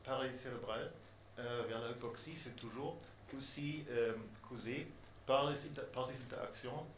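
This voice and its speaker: A man who is not a native French speaker presents a research project, pausing often and restarting his phrases. His voice sits at a middle pitch. The room gives it a roomy echo, with faint noise behind. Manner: halting, many pauses and restarts. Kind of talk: hesitant formal presentation in a second language.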